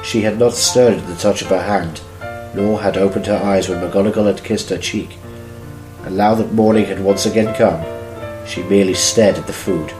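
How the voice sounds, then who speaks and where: Low-voiced man narrating, roomy sound. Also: fairly even intonation, moderate pace. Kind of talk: scripted story narration of fan audio drama. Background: music.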